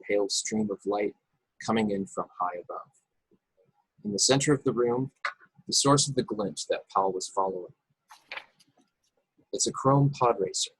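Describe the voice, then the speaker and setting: A man with a husky voice reading stage directions as narrator of a script table read over a video call. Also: on the low side, dry recording, poor audio. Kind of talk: scripted narration with occasional stumbles.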